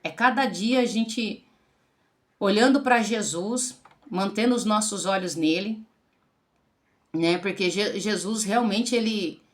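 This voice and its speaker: A woman's voice: medium pitch, slow, long pauses. A Brazilian woman in her forties, a pastor, giving an earnest religious testimony to the camera and addressing listeners as brothers and sisters. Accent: Brazilian Portuguese. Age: 40s.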